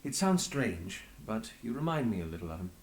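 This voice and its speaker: An actor playing an authoritative, guarded inspector in a video game, speaking in a formal, measured and commanding manner. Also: male voice, fairly low pitch, sing-song, poor audio. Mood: subdued. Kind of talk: scripted video game acting.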